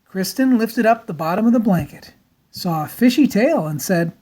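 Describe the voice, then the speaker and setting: Animated woman reading a children's story. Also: mid-range voice. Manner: theatrical, deliberate emphasis. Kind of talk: dramatic storybook read-aloud.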